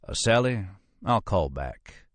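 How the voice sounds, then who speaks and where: Guy doing a southern fed for an audiobook, deep voice, polite but coolly in command. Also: sing-song, takes it slowly, no echo. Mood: courteous. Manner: courteous, turning sharp and officious. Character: smooth, commanding Southern FBI agent, coolly manipulative.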